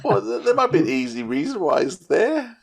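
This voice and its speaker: A man's voice: sits high, big pitch swings, brisk, creaky. A man co-hosting a podcast, asking questions in a casual, chatty way. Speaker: podcast co-host, interviewer. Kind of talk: casual interview chat.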